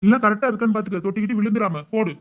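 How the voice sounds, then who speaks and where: A kid putting on a fussy deep-voiced husband. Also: racing, fairly bright tone, natural voice. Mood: fussing. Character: fussy young husband on a bus trip. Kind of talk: comic family skit.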